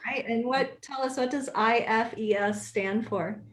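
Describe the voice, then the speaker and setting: A woman's voice: fairly high pitch, a little slow. A woman hosting a casual video call chat, upbeat and friendly, with frequent fillers. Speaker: host.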